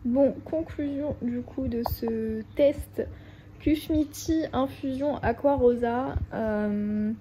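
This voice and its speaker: A woman with a stuffy, hoarse voice from a cold, chatting casually in a book vlog and rambling with lots of hesitations. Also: fairly high pitch, slow pace, warm tone, a little hiss or hum, rough recording. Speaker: book vlogger.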